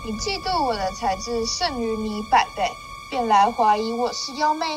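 A haughty thousand-year-old fox spirit in scholar's guise speaks through a woman in a fairly high voice, with lofty literary phrasing. It is radio drama, with music clearly audible underneath. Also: animated, moderate pace, natural voice, poor audio. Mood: defiant, boastful. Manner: haughty, self-assured, literary speech. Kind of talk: radio play acting. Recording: music loud behind the voice.

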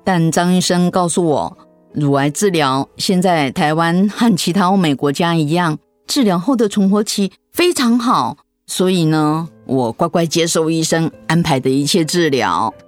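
An actress playing a gentle, hesitant middle-aged mother in a radio drama. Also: fairly low pitch, lively intonation, normal speed, dry recording, faint music behind, close to an everyday voice. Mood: gentle, reassuring. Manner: gentle, hesitant, conversational with soft fillers.

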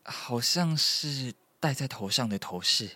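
A man's voice: medium pitch, lively intonation, unhurried, bright, forceful tone, no echo. A man acting as an inquisitive, slightly hesitant young traveller in an audio drama, speaking mildly. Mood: unsure, curious. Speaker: voice actor.

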